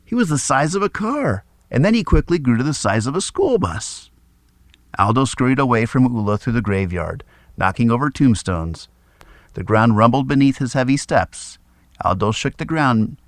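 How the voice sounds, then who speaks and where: A man narrating a scripted children's story in an expressive, dramatic read-aloud style. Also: medium pitch, normal speed, no echo, studio quality.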